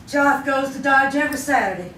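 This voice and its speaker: A woman's voice: sits high, brisk, a bit bright. Sour old hill-country frontier woman. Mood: weary, dour. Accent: American rural Southern hill country. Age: older. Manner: curt, blunt, folksy. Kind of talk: 1950s Western radio drama acting. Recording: roomy, distant, hissy old radio recording.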